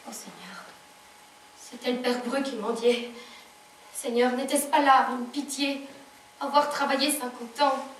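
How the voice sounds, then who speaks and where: An actress playing a wistful, fiery, worn-down laundress in a dramatic theatrical monologue. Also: female voice, a little high, fairly even intonation, measured pace, pauses often, close to an everyday voice. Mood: desperate, weary. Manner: dramatic storytelling, swinging from hushed to shouted. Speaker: actress performing a stage monologue. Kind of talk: theatrical French monologue with sung passages. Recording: echoey room from far off, faint noise.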